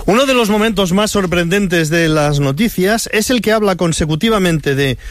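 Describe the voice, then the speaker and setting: Ironic high-voiced male host. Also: moderate pace, dry recording. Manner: dry, ironic. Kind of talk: satirical news commentary.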